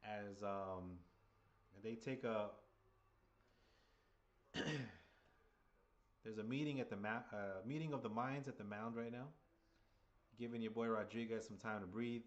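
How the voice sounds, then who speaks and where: A man giving casual, chatty play-by-play for a live chat, seated at a table at home, sounding under the weather with a voice getting hoarse. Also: fairly low pitch, fairly even intonation, slow pace, long pauses, highs cut off. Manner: chatty, hyped, keeps rallying the chat. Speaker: fan livestream commentator.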